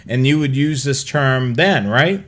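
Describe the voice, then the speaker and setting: Casual male host with average pitch. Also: measured pace, fairly bright tone. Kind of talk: casual explanatory talk.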